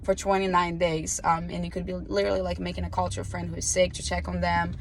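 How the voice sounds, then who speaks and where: A woman's voice: on the low side, flat delivery, fast, a little hiss or hum. A young woman losing her voice, chatting casually with many fillers as she leads an online book club. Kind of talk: casual chat.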